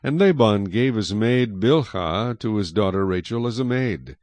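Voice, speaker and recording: A man narrating scripture dramatically, in an expressive storytelling manner. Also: on the low side, measured pace, no room sound.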